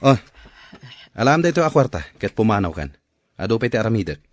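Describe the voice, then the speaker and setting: A man playing a bitter, unforgiving grown son in a radio drama, curt and scolding, with a rough-edged voice. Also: fairly low pitch, very animated, fast, no room sound. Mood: curt, impatient. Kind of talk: Ilocano radio drama acting.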